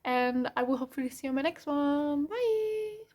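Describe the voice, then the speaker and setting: A young adult woman with a German accent, a non-native English speaker, giving a casual tarot reading in a soft, rambling way with many fillers and self-corrections. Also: sits high, lively intonation, moderate pace. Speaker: tarot reader.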